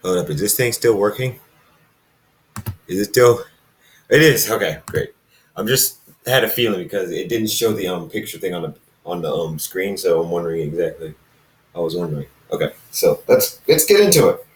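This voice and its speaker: A man reading a story aloud informally, halting and casual, with dramatic pauses and asides to listeners. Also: deep voice, expressive. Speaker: fan fiction narrator reading own recording. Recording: roomy, echoey, distant microphone.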